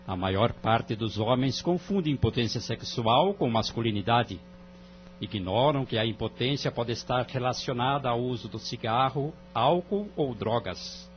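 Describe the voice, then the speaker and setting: A Brazilian man playing a calm, wise family-court judge in an audio drama, speaking in a formal, measured, moralizing way. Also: mid-range voice, natural voice. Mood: calm, explaining. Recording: dull lo-fi audio, music underneath.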